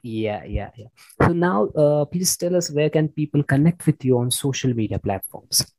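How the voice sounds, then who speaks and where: A man's voice: on the high side, expressive, normal speed, a little mellow, dry recording, rough recording. Man hosting, dry close sound. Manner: repeats words and phrases often. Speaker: podcast host interviewing a guest. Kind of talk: conversational interview hosting.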